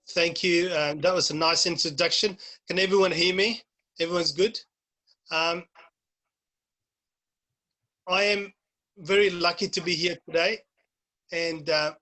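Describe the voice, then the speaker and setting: A man speaks plainly over a video call, circling back and repeating phrases. His voice is bright and forward, a little higher than most men's. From Somalia. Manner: plain-spoken, repeats himself. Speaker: guest speaker, youth worker. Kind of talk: informal presentation.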